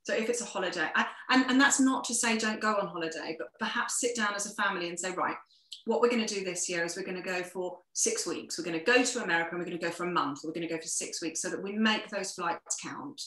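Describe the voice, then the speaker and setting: A woman's voice: on the low side, fast talker, bright, forceful tone, roomy sound, poor audio. A woman, the guest in an interview, giving earnest practical advice with frequent restarts. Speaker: sustainability guest. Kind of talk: interview conversation.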